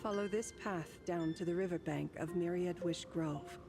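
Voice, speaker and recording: A woman acting a low, serene game ferrywoman. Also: over music, close to an everyday voice. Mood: serene, solemn. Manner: calm, measured, formal. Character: serene, solemn ferrywoman guiding souls with gentle authority. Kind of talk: scripted video game voice acting.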